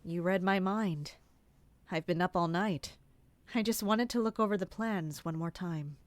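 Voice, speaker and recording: A woman's voice: lowish voice, unhurried, noisy background, close to an everyday voice. A woman playing a vigilant, anxious castle guardian in an audio drama, both narrating and speaking in scenes. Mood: uneasy. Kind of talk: first-person audio drama.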